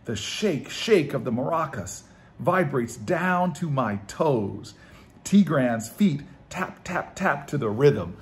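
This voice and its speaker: Man reading as an eager, expressive young girl. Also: medium pitch, slow. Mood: delighted. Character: eager, curious young granddaughter narrating her family story.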